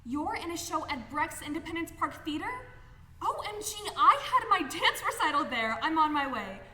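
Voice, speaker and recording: A woman's voice: high voice, animated, normal speed, pushed and bright. An actress playing a ditzy, carefree teenage girl with a vacant drawl and gushing slang in a comic radio sketch. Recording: echoey hall, faint background noise.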